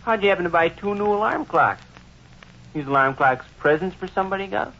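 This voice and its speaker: An actor in an old-time radio comedy playing a shrewd, good-natured teenage son with folksy, slangy, suspicious talk. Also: male voice, high-pitched, lively intonation, fairly quick, bright, forceful tone, full character voice. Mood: curious, suspicious. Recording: dull, muffled old radio recording.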